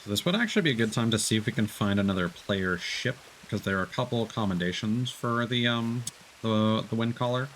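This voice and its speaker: A man giving casual commentary while playing a co-op game, guiding a teammate and thinking aloud haltingly. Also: on the low side, fairly bright tone, a little hiss or hum, rough recording. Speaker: streamer guiding a co-op player.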